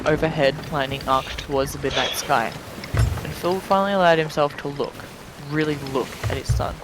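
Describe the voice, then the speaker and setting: A man with a slightly high voice reads a story aloud in a dramatic but informal way. He stumbles over words and swears casually. Clear water and rain noise from a sailing game runs behind him. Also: steady tone, normal speed. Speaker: streamer reading a story aloud. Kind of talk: dramatic reading of fan fiction mixed with casual chat.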